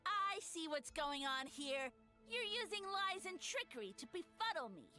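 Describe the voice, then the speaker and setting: A tiny, self-important mushroom villain from a video game. The voice is very high and swoops grandly with vengeful, blustering theatricality. Soft music plays underneath. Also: female voice, sing-song, measured pace, exaggerated voice. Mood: haughty. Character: pompous little male mushroom tyrant, vengeful and blustering. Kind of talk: animated game villain acting.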